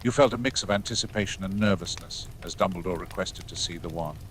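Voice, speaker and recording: A man narrates a scripted story in a fairly low voice. Noticeable ambience sits behind his reading. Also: fast, a bit bright. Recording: clear background ambience noise.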